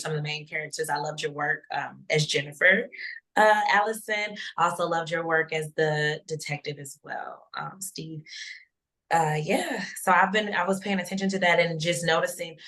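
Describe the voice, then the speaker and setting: A woman's voice: deep voice, fairly even intonation, moderate pace, a bit bright. A woman speaking thoughtfully and casually as a newer actor in a group discussion on a video call.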